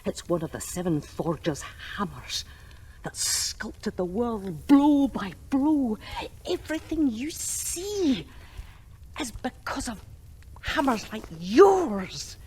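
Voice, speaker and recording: An actress playing a cantankerous, ancient granny master forger in a video game, scolding and theatrical. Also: female voice, a little high, big pitch swings, a little mellow, breathy edge, full character voice. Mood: gruff wonder. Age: older. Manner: scolding, dramatic, slow emphatic delivery. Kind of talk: video game cutscene acting.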